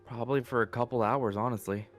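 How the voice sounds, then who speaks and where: A man playing a reformed young villain in an improvised game roleplay, meek, earnest and halting as he pleads. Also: mid-range voice, fairly even intonation, close to an everyday voice. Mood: evasive. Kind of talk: improvised Minecraft roleplay acting. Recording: music behind the voice.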